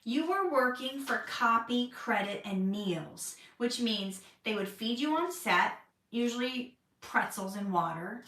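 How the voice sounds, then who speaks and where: A woman's voice: fairly high pitch, animated, measured pace, sharp tone, far from the mic. An actress chatting candidly in a casual Q&A, laughing easily as she answers questions. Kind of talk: casual livestream Q&A chat. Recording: roomy echo, voice from off-mic.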